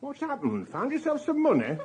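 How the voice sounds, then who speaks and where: A man's voice: very high-pitched, wildly swooping pitch, fairly quick, darkish tone, exaggerated voice. An actor playing a hot-tempered, middle-aged working-class father in a radio drama. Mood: angry, scornful. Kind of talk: radio crime drama acting.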